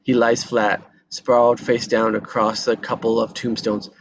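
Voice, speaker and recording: Man narrating screenplay action, mid-pitched. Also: level tone, unhurried, muffled recording, slight background noise. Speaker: narrator reading action descriptions. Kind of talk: scripted screenplay narration at table read.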